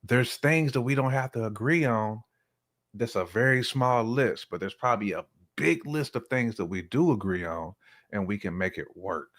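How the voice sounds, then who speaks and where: A man's voice: lowish voice, normal speed, fairly bright tone. A man co-hosting a podcast in casual, colloquial conversation, repeating himself for emphasis. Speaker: podcast co-host.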